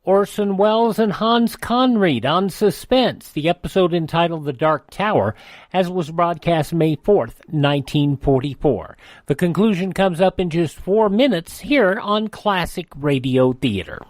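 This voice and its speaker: A man's voice: high voice, lively intonation, unhurried, dry recording, studio quality. A man hosting a radio show in a folksy, conversational announcer style. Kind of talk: folksy radio announcing and host-read ads.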